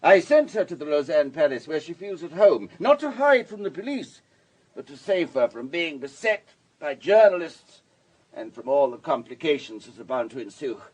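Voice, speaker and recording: An actor playing a wealthy, urbane businessman in his sixties in a radio drama, husky and discursive, with a worldly, paternal manner. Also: fairly high pitch, animated, moderate pace, a little mellow, highs cut off. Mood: brisk, concerned. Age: 60s.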